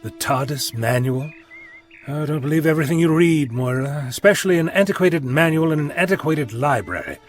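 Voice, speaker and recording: An actor playing a breezy, eccentric time-travelling adventurer in an audio drama, with an erudite manner. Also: male voice, fairly high pitch, animated, brisk, soft background music, lightly acted. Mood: airy.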